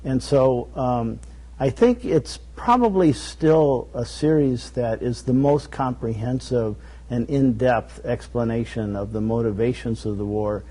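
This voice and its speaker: A man as a guest on a talk show, explaining his work earnestly and at a measured pace, with frequent fillers and restarts. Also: mid-range voice, mellow, rough recording. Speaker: guest, documentary network director.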